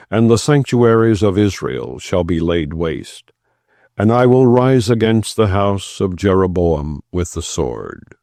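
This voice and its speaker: Male audiobook narrator for scripture: low register, solemn and measured delivery, very dry close sound. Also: dark tone, dry recording. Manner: measured, declamatory. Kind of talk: solemn scripture reading, non-dramatized.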